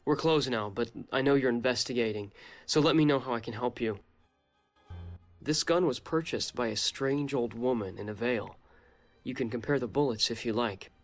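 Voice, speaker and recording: An actor playing a helpful young shop clerk in a game, informal and obliging. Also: male voice, medium pitch, moderate pace, soft background music, lightly acted. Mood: friendly. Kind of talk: video game character acting.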